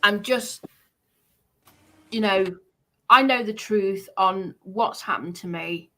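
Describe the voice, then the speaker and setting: A woman delivering an unscripted, confrontational rant to online trolls, scolding in a halting way and repeating her points for emphasis. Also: medium pitch, sharp tone, low-quality recording.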